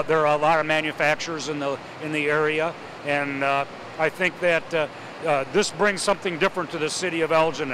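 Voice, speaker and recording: A man serving as city mayor, speaking in a halting way with many uhs and restarts. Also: fairly high pitch, background noise. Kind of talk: casual interview talk.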